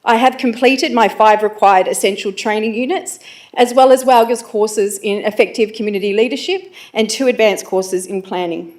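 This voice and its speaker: A woman's voice: mid-range voice, normal speed. Earnest Australian woman councillor. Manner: earnest, confident, read-out. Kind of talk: prepared council speech.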